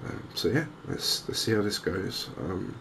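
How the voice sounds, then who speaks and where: Low, creaky, soft-spoken man. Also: measured pace. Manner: hushed, soft-spoken, hesitant thinking aloud. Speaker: gaming video host. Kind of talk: softly spoken ASMR game commentary. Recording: noticeable background ambience noise. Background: game ambience.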